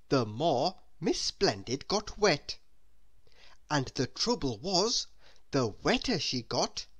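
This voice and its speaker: A woman reading a children's storybook aloud, expressively and as if speaking straight to young listeners. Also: low-pitched.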